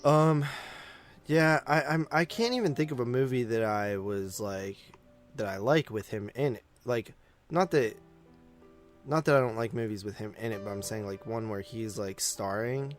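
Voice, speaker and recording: A man co-hosting a movie podcast, talking casually in a halting, noncommittal way. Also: mid-range voice, soft background music.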